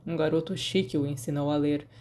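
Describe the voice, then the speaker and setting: A woman voicing a shy, dry-witted teenage boy in an audiobook, deadpan and easily embarrassed. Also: low-pitched, brisk, voice pushed down, lightly acted. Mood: dry, exasperated. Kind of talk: audiobook character dialogue.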